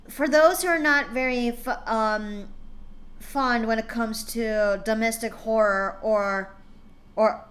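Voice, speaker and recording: A woman hosts a live fan-fiction reading stream, talking casually and stumbling over her words with plenty of ums and restarts. Her voice sits a little high and sounds very bright. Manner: chatty, many fillers and restarts.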